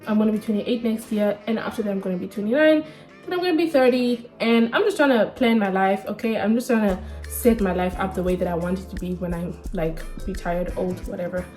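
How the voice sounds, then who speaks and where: A woman's voice: medium pitch, fairly quick, darkish tone, faint music behind. A woman in her twenties from Namibia, chatting candidly and casually to camera in a sit-down vlog, full of slang. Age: 20s. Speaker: vlogger.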